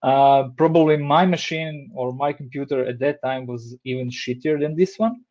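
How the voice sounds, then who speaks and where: A man's voice: mid-range voice, moderate pace, lo-fi sound. Croatian-accented man on a video call. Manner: relaxed, hesitant with many fillers. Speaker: design lead giving a talk. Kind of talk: online presentation. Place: home room, indoors. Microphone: headset on a video call.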